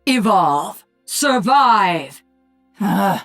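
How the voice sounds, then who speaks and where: A woman voicing a sardonic, commanding alien-hybrid warrior queen in a video game, with a husky voice. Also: mid-range voice, expressive, drawn-out words, a bit bright, faint music behind, heavily acted. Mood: menacing, sardonic. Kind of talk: video game character voice lines.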